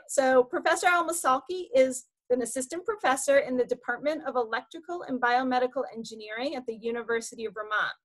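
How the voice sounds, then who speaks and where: A woman in her forties with a bright, high-pitched voice hosts a youth science session over a laptop video call from her home. She sounds upbeat and eager as she runs through announcements, with a few ums along the way. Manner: upbeat, enthusiastic, with fillers. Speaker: youth program host. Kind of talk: casual webinar introduction. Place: home room, indoors. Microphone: laptop webcam on a video call.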